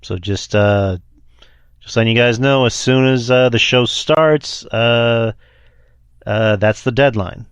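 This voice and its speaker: A man's voice: medium pitch, slow, clear, resonant tone, no echo. A man co-hosting a podcast Q&A in easygoing chat, joking and rambling with many fillers. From Texas. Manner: joking, loose, full of fillers. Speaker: podcast co-host.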